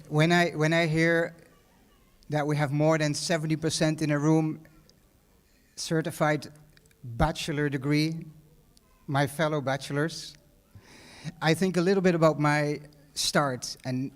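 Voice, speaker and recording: A middle-aged man with a Dutch accent giving a casual, rambling graduation speech in a large hall, full of fillers and restarts. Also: fairly high pitch. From Netherlands. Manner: conversational, many fillers and restarts. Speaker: guest speaker.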